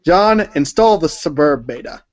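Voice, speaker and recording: Higher-voiced man narrating a comic read-along. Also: lively intonation, unhurried.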